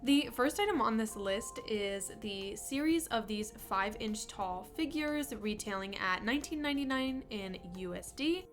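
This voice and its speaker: A woman's voice: on the high side, animated, a little slow, hardly any pauses, faint music behind. A young woman in her twenties with a congested voice from a cold, chatting fondly and upbeat as she reviews toys for her vlog. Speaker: toy collector vlogger. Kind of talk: casual toy review vlog.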